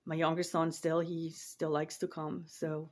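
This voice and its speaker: A woman's voice: sits low, little pitch movement, a little slow, a little mellow. A woman in her forties from Switzerland, chatting casually to camera in her home office, rambling and repeating words as she trails off. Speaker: online reseller and vlogger.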